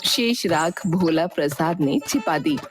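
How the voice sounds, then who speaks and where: A woman narrating a moral story for a cartoon in a lively storytelling manner. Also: sits low, a little slow, noisy background. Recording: music playing behind.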